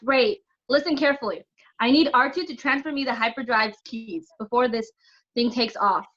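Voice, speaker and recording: A woman playing a brisk, commanding young resistance tech fighter in a sci-fi audio drama. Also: on the high side, fairly bright tone, highs cut off. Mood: urgent. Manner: brisk, instructive.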